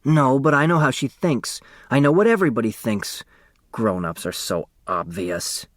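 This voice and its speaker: A man voicing a brash, sharp-tongued little sister in an audiobook, blunt and combative. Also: mid-range voice, moderate pace, dry recording, studio quality, close to an everyday voice. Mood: cocky, needling.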